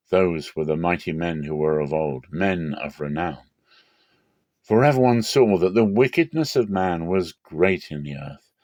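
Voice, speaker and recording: A man with a deep, low voice reads scripture dramatically. His delivery is solemn and measured, with emphatic phrasing, and the recording is close and dry. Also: a little mellow, no echo. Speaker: Bible reader.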